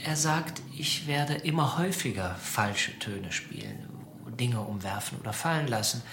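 An actor in a radio drama playing an ailing pianist, a gentle and measured father speaking his farewell. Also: mid-range voice, lively intonation, background noise. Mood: resigned, quiet. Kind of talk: radio drama acting, letter reading.

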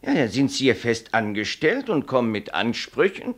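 An actor playing a self-righteous company doctor in a German radio play, formal, pedantic and condescending. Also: male voice, medium pitch, big pitch swings, moderate pace. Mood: cautious, condescending.

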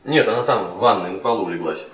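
An actor playing an awkward, polite young academic in a radio play, hesitant and embarrassed. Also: male voice, sits low, lively intonation, quick pace, muffled recording, crowd noise. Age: young adult. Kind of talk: Soviet radio theatre acting. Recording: echoey room, far from mic.

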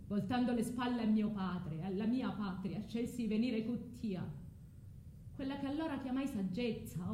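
Actress doing anguished exiled wife, proud and declamatory, radio play, high voice, roomy echo. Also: female voice, mellow, a little hiss or hum, lightly acted. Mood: cold recollection. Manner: declamatory, deliberate, incantatory. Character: Medea, betrayed foreign wife and exiled mother, proud, anguished. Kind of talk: theatrical radio drama monologue.

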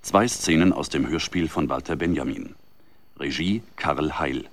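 A man's voice: extremely low pitch, measured pace. A man reading a scripted announcement as a radio announcer.

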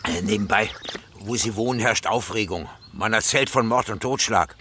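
A man's voice: fairly low pitch, normal speed, close to an everyday voice. An actor playing a chatty, teasing, self-important male postman in a radio drama, with a fussy, gossipy way of talking. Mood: teasing, officious. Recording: clear background noise, outdoor ambience.

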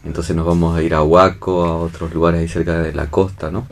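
A man's voice: low-pitched, little pitch movement, a little fast, vocal fry, a crowd in the background. A man with an Argentine accent, a director explaining his work in casual interview talk, often checking for agreement. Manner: seeks agreement with tag questions. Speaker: theatre and film director being interviewed.

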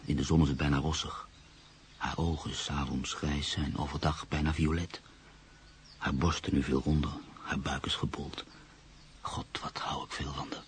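An actor playing a reflective, skeptical journalist in a radio play, telling his own story in a measured, literary manner. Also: extremely low pitch, little pitch movement, a little hiss or hum. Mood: tender, musing. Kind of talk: radio play, first-person narration and dialogue.

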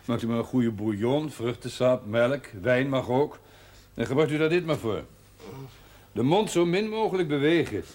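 An actor playing a calm, matter-of-fact village doctor in a television drama, speaking in a measured, instructing way. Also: male voice, mid-range voice, close to an everyday voice. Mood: reassuring. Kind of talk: naturalistic television drama acting. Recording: slightly noisy old TV soundtrack.

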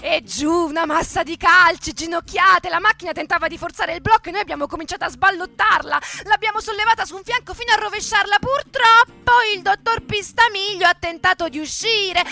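A woman's voice: very high-pitched, creaky, sharp tone, full character voice. A woman playing a fiery, defiant male factory worker in a radio theatre monologue, speaking colloquially in short, emphatic, repetitive phrases. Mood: fired up. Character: militant male Fiat factory worker recalling the 1962 revolt, fiery, defiant.

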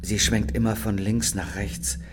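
A man's voice: on the low side, flat delivery, measured pace, slight background noise, close to an everyday voice. A man playing a resolute inside man on a heist in a radio crime drama, explaining things matter-of-factly. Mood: tense, resolute.

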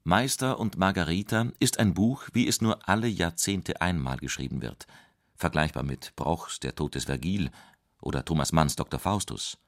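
A man reading literary quotations in a scripted, measured style. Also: sits low, dry recording, studio quality. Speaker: reader of quotations.

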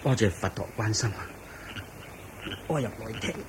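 An actor playing a sickly, anxious monk disciple in a Cantonese radio drama, speaking deferentially and earnestly. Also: male voice, on the low side, measured pace, background noise. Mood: considerate, subdued. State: sickly, prone to coughing.

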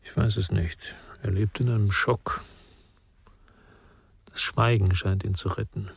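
An actor playing a gentle, melancholy man in a radio drama, speaking with subdued patience. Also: on the low side, steady tone, unhurried, vocal fry, husky, exaggerated voice. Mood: grave.

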